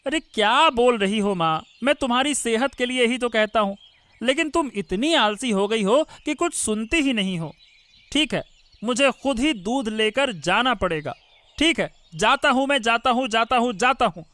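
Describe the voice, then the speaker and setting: A man's voice: very high voice, expressive, normal speed, pushed and bright, dry recording, heavily acted. A man voicing a hard-working grown son, a milkman, who nags insistently in a Hindi cartoon story. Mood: insistent, exasperated. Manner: insistent, exasperated.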